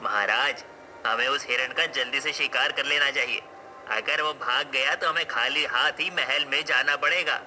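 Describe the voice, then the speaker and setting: A man's voice: medium pitch, normal speed, pushed and bright, slight background noise, low-quality recording. A man playing a deferential royal minister in a cartoon folk tale, addressing his king formally and respectfully. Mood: eager. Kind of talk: cartoon story acting.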